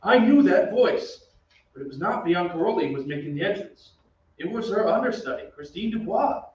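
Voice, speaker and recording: A man's voice: sits high, animated, fairly quick, darkish tone. A man playing an opera singer who recounts eerie events as a grave, suspenseful radio-drama narrator. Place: theatre stage. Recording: echoey stage from far off, dull, noisy.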